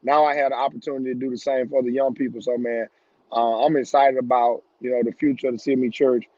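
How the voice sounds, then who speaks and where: A man's voice: mid-range voice, level tone, fast talker, mellow, no room sound, muffled recording. A pastor in his thirties speaking over a video call, earnest and reflective, with many fillers. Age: 30s. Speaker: pastor and panel guest.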